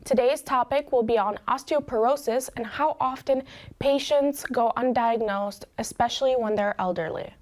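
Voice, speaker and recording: A woman, reading a scripted introduction of fellow students in a clear, measured way. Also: fairly high pitch. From Chicago, USA. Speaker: student presenter.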